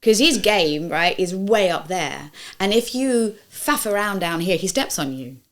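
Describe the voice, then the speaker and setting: A pantomime actress telling lively stage anecdotes in an interview, teasing and playful. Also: female voice, medium pitch, a little slow. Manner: lively, teasing, laughs through stories.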